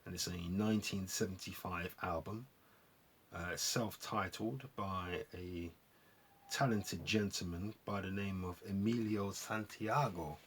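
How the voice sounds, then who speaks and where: A man hosting a vlog, chatting casually and appreciatively in a rambling, gentlemanly way as they review records. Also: low-pitched, steady tone, unhurried, a little hiss or hum. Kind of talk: casual album review chat to viewers.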